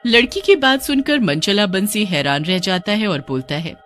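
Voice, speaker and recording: A woman's voice: medium pitch, animated, normal speed, a bit bright, soft background music. A woman narrating a scripted horror folk tale as a storyteller.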